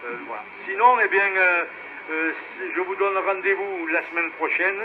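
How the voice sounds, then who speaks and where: A man running an amateur radio net in a casual, halting way, heard over a two-way radio. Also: high-pitched. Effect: two-way radio transmission. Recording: noisy narrowband ham radio relay.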